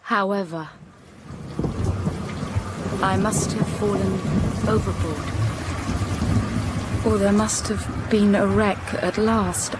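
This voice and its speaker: An actress playing a reserved, melancholy young gentlewoman, narrating her life in a measured way in a literary audio drama. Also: mid-range voice, noisy background, natural voice. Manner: measured, sombre. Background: music.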